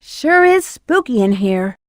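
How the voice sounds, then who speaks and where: A woman's voice: fairly high pitch, sing-song, takes it slowly, no echo, studio quality. A woman voicing a sly, playful bat treasure hunter in a video game, teasing and breezy. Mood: surprised.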